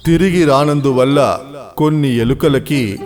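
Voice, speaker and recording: A man's voice: medium pitch, unhurried, darkish tone. A man narrating a scripted children's story as the storyteller. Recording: clear music behind.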